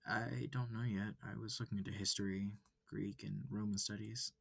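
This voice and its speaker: Man playing a wary young student, husky and creaky. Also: fairly low pitch, flat delivery, moderate pace, a bit bright, no room sound, exaggerated voice. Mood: uncertain, puzzled. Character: wary, confused human student abducted by aliens. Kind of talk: visual novel character reading.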